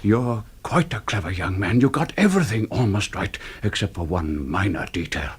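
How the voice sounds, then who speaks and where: An actor playing a grave, bitter older man in a suspense radio drama, speaking with measured, cold courtesy. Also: lowish voice, expressive, slightly rough. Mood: urgent, secretive.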